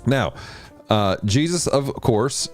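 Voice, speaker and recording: A man hosting a livestream of video games and Bible study, chatting casually with viewers and teaching in an animated way. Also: slow pace, soft background music. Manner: animated, jumps in pitch when greeting chat. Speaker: host of a gaming and Bible study stream.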